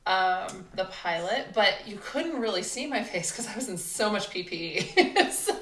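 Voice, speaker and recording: A woman speaks as a guest in a casual podcast chat. Her pitch is middling for a woman. The space around her is noticeably echoey. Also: very animated, a little slow, a bit bright, slight background noise. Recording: echoey room.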